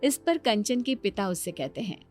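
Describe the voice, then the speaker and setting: A woman's voice: medium pitch, lively intonation, moderate pace, darkish tone, faint music behind. A woman reading scripted narration for a family moral story.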